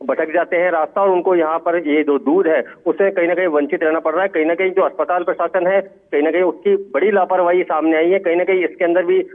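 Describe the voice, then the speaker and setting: A man giving an unscripted live field report in a halting, repetitive way. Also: high-pitched, steady tone. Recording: tinny phone-like radio-quality line.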